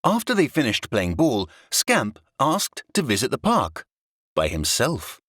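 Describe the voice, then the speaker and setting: A man narrating a scripted children's story in a dramatic, expressive storytelling manner. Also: medium pitch, a little slow, no echo, clean studio sound.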